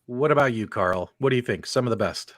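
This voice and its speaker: A man's voice: medium pitch, brisk, no echo, clean studio sound. An enthusiastic man hosting a live video game talk show, repeating himself for emphasis. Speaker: show host.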